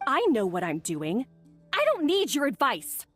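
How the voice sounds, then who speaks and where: An actress playing a haughty, obsessive rival head chef in a video game, with a cutting, theatrical manner. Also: female voice, sits high, wildly swooping pitch, a little slow, faint music behind, heavily acted. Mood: curt.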